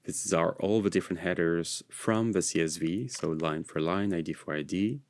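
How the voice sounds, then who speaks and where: Low, creaky non-native man explaining software. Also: normal speed, no echo. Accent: non-native English, American-leaning. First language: not English. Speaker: software tutorial presenter. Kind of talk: screencast tutorial narration.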